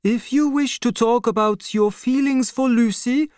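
A man's voice: very high-pitched, measured pace, a little mellow, clear, resonant tone, no room sound, voice pushed up. Brief: man doing an older fatherly doctor, raised thin voice, strained and pleading, dry close sound. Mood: sad, tender. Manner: pleading, emotional. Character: aging gentle male doctor, anxious and fatherly.

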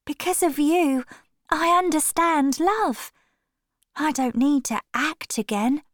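A woman voicing a fragile young actress, with a high, tearful, pleading voice. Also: takes it slowly, dry recording, clean studio sound. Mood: tender.

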